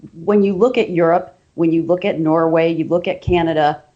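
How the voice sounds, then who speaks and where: Measured woman psychologist, lowish voice. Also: fairly even intonation, dull audio. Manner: articulate, measured, emphatic. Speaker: forensic psychologist guest. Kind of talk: expert discussion.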